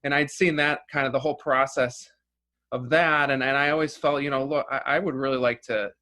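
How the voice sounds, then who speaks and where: Bright-voiced man, rambling interview talk. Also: medium pitch, fairly even intonation, fairly quick. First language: Russian. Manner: rambling, full of restarts and "you know". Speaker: filmmaker guest.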